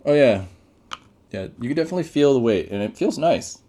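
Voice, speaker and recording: A man's voice: mid-range voice, lively intonation, fairly quick, warm tone. A man giving casual, off-the-cuff first impressions as a gadget reviewer, thinking aloud as he goes. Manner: off-the-cuff, thinking aloud while reading out menus.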